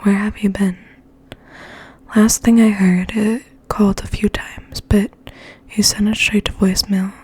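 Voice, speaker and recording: A woman whispering in a gentle, breathy, slow ASMR style, as if reading poetry aloud and sharing quiet thoughts. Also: medium pitch, level tone. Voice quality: breathy, mostly unvoiced whisper. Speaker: ASMR host and poetry reader.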